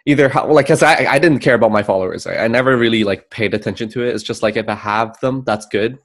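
A man's voice: medium pitch, quick pace. A young man from China, a guest on a video-call interview, talking in a laid-back, easygoing way. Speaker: guest, car vlogger.

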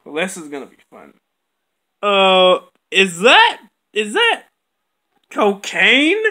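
A home video maker talking through plush toys in a quiet, halting, mumbled way. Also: medium pitch, wildly swooping pitch. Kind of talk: plush toy skit with puppet dialogue. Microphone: camera on a bedroom floor.

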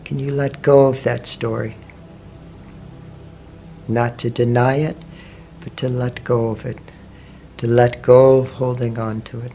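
Male meditation teacher, mid-pitched, slow and gentle, faint background hiss. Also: level tone, gravelly, highs cut off. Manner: slow, soft, gentle questioning with long pauses. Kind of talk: guided meditation.

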